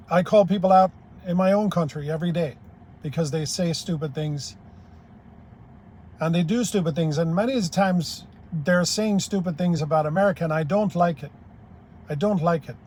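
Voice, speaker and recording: A man's voice: on the high side, moderate pace, long pauses, darkish tone, slight background noise. An older man from Ireland giving a blunt, plain-spoken, rambling opinion monologue as a YouTube commentator.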